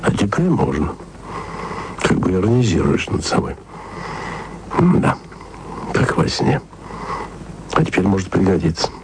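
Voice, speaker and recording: A man's voice: sing-song, measured pace, pauses often, exaggerated voice. An actor playing a wry, lovesick male radio journalist who murmurs confiding messages onto tape, often close to a whisper. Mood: intimate. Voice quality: low gravelly murmur, often near a whisper. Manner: intimate, confiding, self-ironic. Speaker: radio man taping messages to a colleague. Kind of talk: radio drama acting. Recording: noisy tape recording.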